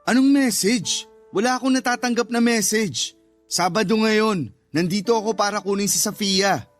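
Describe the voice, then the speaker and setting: A man's voice: high voice, moderate pace, close to an everyday voice. Casting: male actor as insistent divorced Filipino dad, aggrieved everyday talk, radio drama with a light music bed. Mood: baffled, upset. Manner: insistent, complaining, conversational. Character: aggrieved, stubborn divorced father standing his ground. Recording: music under the voice.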